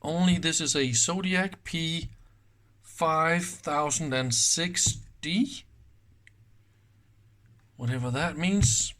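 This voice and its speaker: Slow-talking man, higher-pitched voice, thinking aloud. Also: a bit bright. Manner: informal, thinking aloud with exclamations. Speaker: host of electronics teardown videos. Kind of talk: casual hands-on commentary. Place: indoor workbench.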